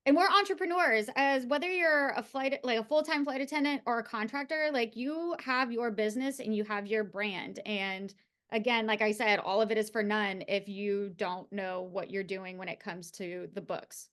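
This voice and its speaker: A woman's voice: on the high side, fairly even intonation, moderate pace, a bit bright. Chatty woman host agreeing casually. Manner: chatty and agreeing, uses filler "like". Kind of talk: casual chat.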